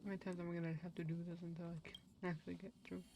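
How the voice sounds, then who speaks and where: A woman gives casual gameplay commentary on a stream. She talks very fast in a slightly low voice, with game noise clearly audible. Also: flat delivery. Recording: noisy stream with game audio.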